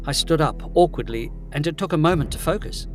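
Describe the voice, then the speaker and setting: An actor playing an uneasy, impressionable gentleman, giving brooding first-person narration in a gothic audio drama. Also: fairly high pitch, animated, fairly quick, faint music behind, lightly acted. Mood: haunted, brooding. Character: uneasy, impressionable gentleman recounting eerie nights.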